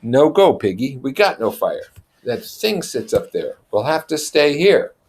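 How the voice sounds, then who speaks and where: Storybook boy leader, voiced by a man: troubled, plain-spoken, pitched higher, trying to keep order. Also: sing-song, a little slow, a little mellow. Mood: restless, discouraged. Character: Ralph, troubled schoolboy leader trying to organize. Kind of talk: character voice in novel read-aloud.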